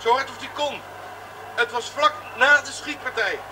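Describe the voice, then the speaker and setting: A flustered male chauffeur, with a strikingly high voice. He is bewildered and defensive in radio-play fashion. Clear noise runs through the recording. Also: moderate pace. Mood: agitated. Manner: agitated, bewildered. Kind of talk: radio drama acting. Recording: noisy old radio drama recording.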